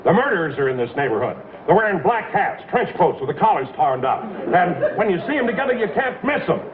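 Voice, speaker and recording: An actor playing a brisk, blustery small-town police chief in a 1960s broadcast comedy sketch. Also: male voice, high voice, sing-song, over a noisy crowd. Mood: warning. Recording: tinny echoey old broadcast, loud audience noise.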